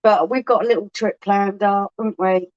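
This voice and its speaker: A woman's voice: mid-range voice, fairly even intonation, a little mellow. Chatty woman, lo-fi stream. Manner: chatty, quick bursts, laughs often. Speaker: co-host of a beer livestream. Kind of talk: casual livestream chat. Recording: dull lo-fi livestream audio.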